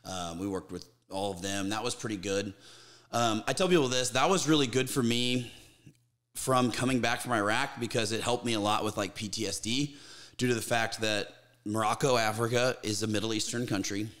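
A man's voice: on the low side, steady tone, normal speed, fairly bright tone. A man in his thirties, a podcast guest speaking in casual, candid conversation, swearing freely and restarting his sentences. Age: 30s. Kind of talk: casual interview chat.

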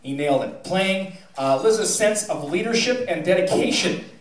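A man's voice: sits high, animated, normal speed. A middle-aged American man giving an informal award speech to an audience, folksy and wry, in the manner of a school theatre director. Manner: folksy, wry, teasing delivery. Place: school theatre stage, indoors. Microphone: handheld mic on PA, captured by a distant camera. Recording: echoey auditorium recorded from far off, audience noise. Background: audience murmur and laughter.

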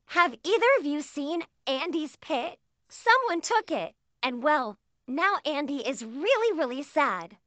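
A woman's voice: sits high, big pitch swings, unhurried, fairly bright tone, no echo, pitched above the performer's own voice, full character voice. A woman voicing a polite, dramatic female onion in a sing-song storybook voice. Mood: concerned.

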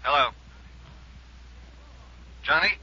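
A pushy boozy reporter. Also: male voice, sharp tone, no room sound, exaggerated voice. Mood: urgent. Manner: urgent, rapid, pushy. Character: boozy male newspaper reporter, pushy and excited. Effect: telephone line. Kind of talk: 1950s radio drama acting. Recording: lo-fi vintage radio.

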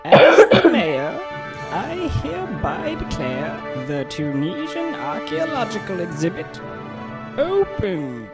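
A man's voice: sits high, huge pitch swings, slow pace, full character voice. A man doing cartoon game guards and a mayor. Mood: pompous. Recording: game audio with music over.

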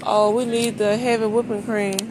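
A woman's voice: on the high side, little pitch movement, moderate pace. A woman chatting casually to the camera in a folksy, informal way, thinking aloud as a vlogger on a shopping trip. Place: inside a large supermarket, walking. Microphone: handheld phone behind the camera. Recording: noisy store background.

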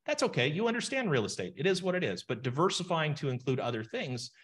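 A man in his forties, a financial planner, giving an animated webinar lesson on calculator math over a video call. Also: a little high, fast talker. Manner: animated, explains with numbers and asides. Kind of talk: webinar teaching session. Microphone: webcam video call.

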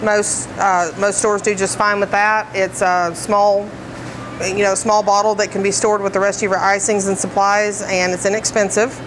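A woman's voice: medium pitch, little pitch movement, moderate pace, noisy background. A woman bakery trainer explaining decorating steps in plain, practical terms, with frequent fillers. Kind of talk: instructional demonstration. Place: commercial kitchen, indoors.

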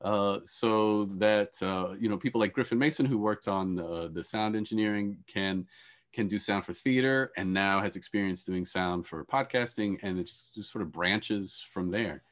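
A middle-aged man making conversational, explanatory panel remarks as a moderator, halting and repeating words as he goes. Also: lowish voice. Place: indoors on a video call.

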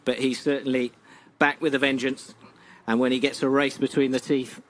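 A man presenting a sailing broadcast, commenting on the race and interviewing athletes in a brisk, chatty way. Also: mid-range voice, level tone, a bit bright.

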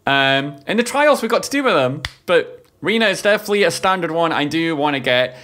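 A man's voice: fairly high pitch, brisk. A man, giving casual, exclamatory reaction commentary on a close mic at home. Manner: animated, exclamatory, repetitive. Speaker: reaction video host. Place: small home streaming room. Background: music from the watched video.

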